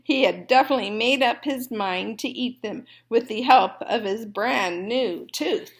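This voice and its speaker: A woman storyteller and teacher reading aloud to children expressively, with deliberate phrasing. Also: on the high side, vocal fry.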